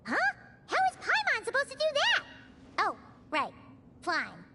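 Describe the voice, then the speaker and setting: A woman voicing a tiny, bubbly fairy-like girl companion in a video game, who refers to herself in the third person in a cheeky, excitable way. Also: extremely high pitch, a little slow, slight background noise, heavily acted. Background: game soundtrack music.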